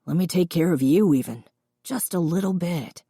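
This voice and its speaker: A woman voicing a gentle, remorseful older father in an audiobook, quiet and earnest. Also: lowish voice, normal speed, slightly rough, dry recording, clean studio sound. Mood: apologetic. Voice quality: reedy, quiet. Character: remorseful, loving father of grown children, older man. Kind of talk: audiobook character dialogue.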